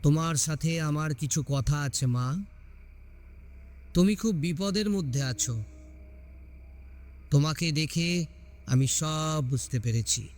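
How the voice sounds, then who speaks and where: A man's voice: fairly high pitch, takes it slowly, long pauses. A man voicing a grave, resolute holy ascetic with a firm, portentous delivery in an audio story. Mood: solemn, firm. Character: grave, resolute male holy ascetic, all-knowing and protective.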